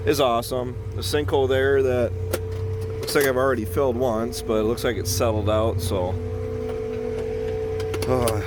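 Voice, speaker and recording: A man with a slightly hoarse voice, a farmer chatting casually and ramblingly to viewers from inside a tractor cab. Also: medium pitch. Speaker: farmer and vlogger. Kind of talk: casual chat to viewers while working. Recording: steady loud machinery noise in vehicle. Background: tractor engine and cab hum.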